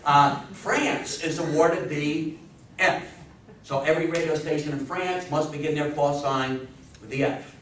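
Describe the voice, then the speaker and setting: A very reverberant recording from a distant microphone, with faint noise, of a man in his sixties lecturing. His voice sits a little high for a man. He speaks emphatically and in a folksy style, drawing his listeners in with questions. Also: measured pace, a bit bright. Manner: emphatic, folksy, prompting the audience. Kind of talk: animated public history lecture with audience questions. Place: small meeting room with seated audience. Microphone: distant camera microphone.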